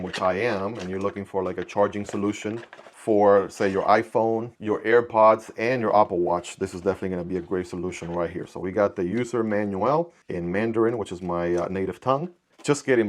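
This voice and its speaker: Chatty low-voiced man reviewing gadgets. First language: Spanish. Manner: relaxed, chatty, jokey asides with quick sound-effect words. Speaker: product reviewer. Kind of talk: casual unboxing review.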